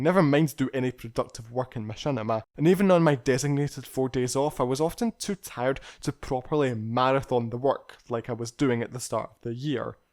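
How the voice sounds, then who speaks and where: A man narrating a scripted personal retrospective as a video creator, speaking in a candid, reflective and articulate way. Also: medium pitch.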